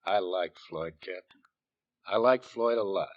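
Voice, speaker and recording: Shifty, low-voiced American army sergeant. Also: male voice, unhurried, no room sound. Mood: nervous, evasive. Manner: hesitant, stammering, slangy, evasive. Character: shifty, nervous army sergeant dodging questions. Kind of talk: 1940s radio drama acting. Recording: dull, dry 1940s radio recording.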